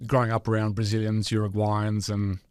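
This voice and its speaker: A man's voice: lowish voice, completely flat delivery, brisk, a little mellow, clean tone, no echo, studio quality. An Australian man, a former goalkeeper, talking about his football upbringing in a casual interview, thoughtful with many fillers. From Western Sydney, Australia. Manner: reflective, many fillers and restarts.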